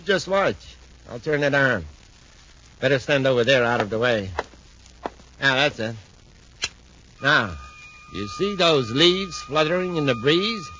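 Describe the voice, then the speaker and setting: Bright forceful voice, smug villainous inventor, animated delivery, old radio serial. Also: male voice, mid-range voice, measured pace, pauses often. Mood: gloating. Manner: confident, gloating. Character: smug, self-assured male villainous inventor. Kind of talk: 1950s radio drama acting. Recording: muffled, faintly noisy 1950s radio recording.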